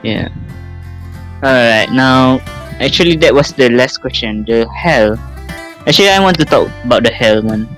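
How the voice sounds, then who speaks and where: A man hosting a casual podcast chat, talking in a halting, rambling way. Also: medium pitch, expressive, fairly bright tone. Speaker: podcast host. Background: plucked guitar music.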